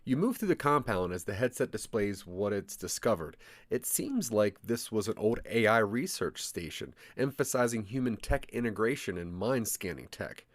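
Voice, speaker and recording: A man narrating a scripted second-person adventure story in a dramatic, deliberate storytelling manner. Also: medium pitch, dry recording. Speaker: narrator of a branching audio drama.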